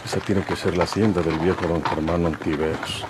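A bold young ranch outlaw played by a man in a vintage Mexican radio play. His voice sits low, rustic and emphatic, with a rural Mexican accent and a hot temper. The old recording is thick with noise. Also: fairly even intonation, a little fast, lightly acted. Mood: musing aloud. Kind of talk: golden-age Mexican radio drama acting.

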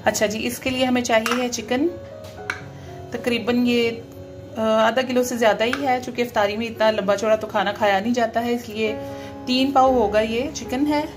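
A woman hosting her home cooking channel, explaining each step in a homely way with frequent filler pauses. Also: fairly high pitch, fairly even intonation, over music. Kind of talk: home cooking demonstration voice-over.

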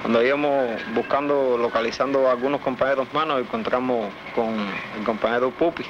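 Young Cuban male veteran, halting testimony, short phrases with pauses, dull archival newsreel sound. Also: medium pitch, fairly even intonation. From Cuba. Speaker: war veteran giving testimony. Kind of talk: filmed first-person testimony. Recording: dull, noisy old newsreel film soundtrack.

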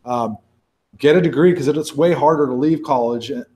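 A man's voice: medium pitch, moderate pace, a little mellow. A man in his forties, a sea turtle researcher from Baja California, Mexico, talking casually with many restarts and self-corrections. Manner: rambling, many restarts, conversational. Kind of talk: casual talk and Q&A.

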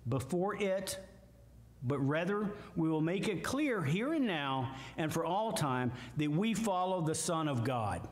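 An older man with a Midwestern American accent, a guest preacher giving a slow, emphatic sermon with scripture readings from a church lectern. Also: fairly high pitch, very animated. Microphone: lectern mic, far camera. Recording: echoey church hall from far off.

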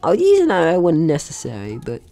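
A man's voice: a little high, huge pitch swings, brisk, gravelly, noisy background. A man giving casual gameplay commentary, chatting through his own actions in short remarks. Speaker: gaming video host.

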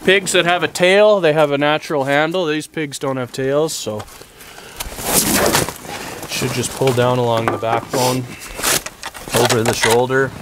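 A man's voice: medium pitch, steady tone, a little slow, pauses often. A man working as a butcher, giving a plain, practical step-by-step demonstration outdoors on a farm while he works. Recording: outdoor recording with generator noise.

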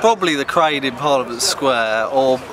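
A man's voice: medium pitch, expressive, normal speed, rough recording. An English man in his thirties recounting stories casually outdoors, in an informal, hesitant manner. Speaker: activist interviewee. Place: open field outdoors near a crowd. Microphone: handheld camera at close range. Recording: outdoor crowd and traffic noise.

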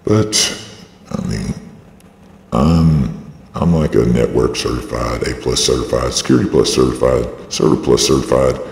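A man's voice: unhurried, vocal fry, a little mellow. A man giving an aggrieved, rambling and insistent personal statement through a voice changer. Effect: voice-changing device lowering the voice. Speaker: video blogger. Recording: processed, echoey voice with long reverb.

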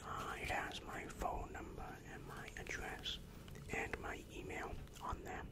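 A young man whispering an ASMR roleplay as a helpful, polite demon counselor, soft, hesitant and reassuring, close on the microphone. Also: unhurried. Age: young adult. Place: small dim room indoors. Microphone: large microphone right in front of the mouth.

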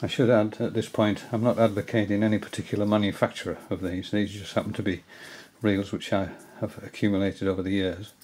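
An older man at home giving a hesitant, casual tutorial, often pausing with fillers as he shows his reels. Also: lowish voice, flat delivery, a little mellow, slight background noise. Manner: hesitant, many fillers and restarts. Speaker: angling hobbyist giving a tutorial. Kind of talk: casual explanatory demonstration. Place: indoors, small room at home.

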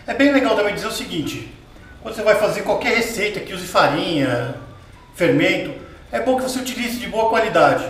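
A man with a Brazilian Portuguese accent hosting a cooking show, explaining each step in a relaxed, chatty way and asking viewers questions as he works. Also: high voice, a little fast. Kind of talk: casual cooking demonstration. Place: home kitchen with tiled wall, indoors. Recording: roomy kitchen sound from a distance.

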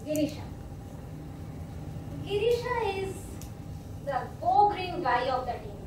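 A young woman runs a workshop, explaining a group game to volunteers with patient, filler-heavy phrasing. Her high voice comes from across a large meeting room. The sound is roomy and distant, with steady background noise. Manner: speaks slowly with many fillers, explaining patiently. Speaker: meetup facilitator. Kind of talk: workshop hosting. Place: hotel meeting room, indoors. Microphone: far camera. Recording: echoey room from far off, noisy.

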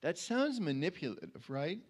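A man in his sixties giving an informal sermon into a handheld microphone, conversational and halting, often repeating words as he goes. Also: fairly high pitch, very animated. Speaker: preacher at a community church. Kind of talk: informal sermon with personal anecdotes. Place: indoor church stage.

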